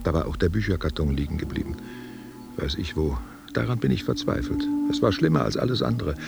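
An actor playing a guilt-haunted war veteran confessing to his lover in a halting, self-questioning monologue. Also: sits low, big pitch swings, gravelly, loud music behind the voice, exaggerated voice. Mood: brooding, weary. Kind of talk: literary audio drama monologue.

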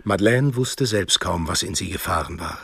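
Man, radio drama narrator, low creaky voice, heavily husky, scripted literary delivery. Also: lively intonation, moderate pace, a bit bright, no echo, studio quality.

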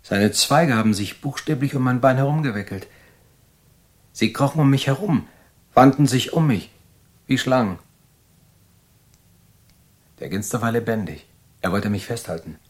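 A man's voice: mid-range voice, lively intonation, normal speed, pauses often, lightly acted. An actor playing an irritable, paranoid man with haunted memories, agitated and insistent, in a radio drama. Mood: haunted, bitter. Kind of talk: German radio drama acting.

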